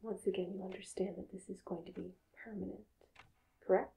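A woman speaking in a hushed, halting, calm half-whisper, soft-spoken in an ASMR roleplay as a doctor, close to the mic. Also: medium pitch, gravelly, husky, poor audio. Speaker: ASMR performer playing a hypnotist doctor.

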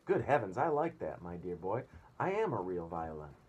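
A man's voice: low voice, sing-song, normal speed, a little mellow, full character voice. A man voicing a courteous, proud elderly grasshopper violinist in a children's storybook, in a lecturing manner. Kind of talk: children's storybook read-aloud. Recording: clear room noise.

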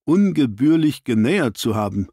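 Gentleman doctor companion, voiced by a male narrator: earnest, well-informed, formal, mid-pitched, audiobook mystery. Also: sing-song, normal speed, slightly rough, no echo, clean studio sound. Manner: formal, explanatory.

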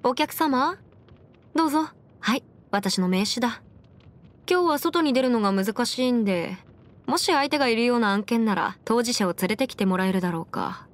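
A woman voicing a confident, brisk young half-immortal lawyer in an anime game, with crisp, formal phrasing and music behind her. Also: fairly high pitch, animated, natural voice. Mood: friendly, businesslike. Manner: crisp, self-assured, formal mannish phrasing. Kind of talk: scripted anime game character voice lines. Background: background music.

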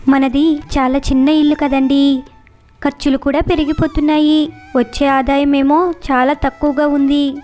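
A scheming young wife from a moral storybook tale, acted by a woman. Her voice is very high and she wheedles persuasively, with faint music underneath. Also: flat delivery, moderate pace, a little mellow, close to an everyday voice. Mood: coaxing. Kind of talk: storybook dialogue narration.

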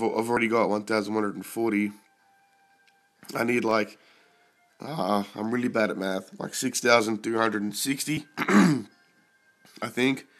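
A young man talks over his mobile game in a slow, lowish voice. He is sick, and frequent throat-clearing roughens his casual commentary. He gets excited and curses when the pulls go his way. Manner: excited, swears at big pulls.

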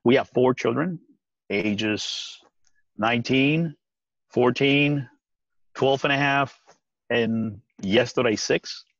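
A man in his forties from Puerto Rico, talking earnestly on a video call from outdoors, with halting restarts and frequent laughs. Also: mid-range voice, dry recording. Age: 40s. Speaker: guest. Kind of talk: casual video-call interview. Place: outdoors on a deck by trees. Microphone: video call webcam. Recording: dry, dull lo-fi webcam sound.

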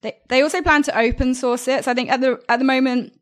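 A woman's voice: sits high, steady tone, fast talker. A young woman co-hosting a podcast, chatting casually and upbeat through a news item, on a studio microphone. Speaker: podcast co-host. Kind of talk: casual news roundup chat. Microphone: studio microphone with headphones.

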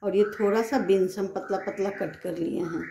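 A woman's voice: mid-range voice, fairly even intonation, normal speed, dark tone, slight background noise. A woman hosting a home cooking channel, explaining each step plainly in her kitchen. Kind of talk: home cooking demonstration voice-over.

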